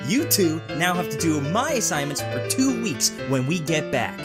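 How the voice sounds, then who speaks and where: A woman voicing an excitable, boastful and whiny teenage younger brother in an audio drama. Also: deep voice, normal speed, loud music behind the voice. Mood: cocky.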